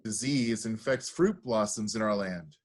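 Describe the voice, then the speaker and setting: A man's voice: fairly low pitch, a little slow. A man acting a reverent priest pleading with his king, in an earnest, oratorical reading of Greek tragedy. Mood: grave, pleading. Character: reverent, pleading priest of Zeus, grave suppliant.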